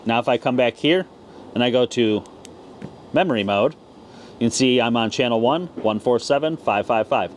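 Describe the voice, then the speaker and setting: Man, medium pitch, plain-spoken tutorial host, casual step-by-step explaining, noisy recording. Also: moderate pace. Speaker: ham radio video host. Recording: clear background noise.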